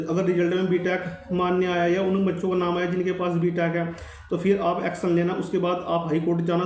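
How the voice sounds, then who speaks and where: A man's voice: high-pitched, a little mellow. A man giving an informal advisory update to viewers, insistent, earnest and repetitive. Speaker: exam update YouTuber. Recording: echoey room.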